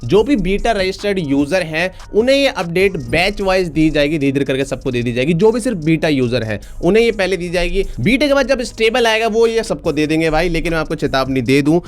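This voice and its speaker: An Indian man presents an informal explainer in a fairly high male voice. He talks very quickly and colloquially, addressing his viewers like buddies. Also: sing-song, faint music behind. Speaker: tech video host reviewing electric scooters.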